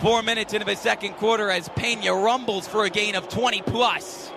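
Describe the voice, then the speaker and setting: A young man calling sports play-by-play with animated, punchy, emphatic delivery. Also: sits high, a little fast, gravelly, background noise. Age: young adult. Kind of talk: energetic sports commentary and highlight narration.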